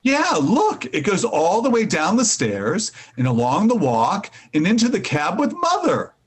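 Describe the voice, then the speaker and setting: Man playing high-voiced, animated radio-comedy husband. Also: measured pace, slight background noise, full character voice. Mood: puzzled. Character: good-natured, oblivious young husband in radio comedy. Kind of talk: 1940s radio comedy acting.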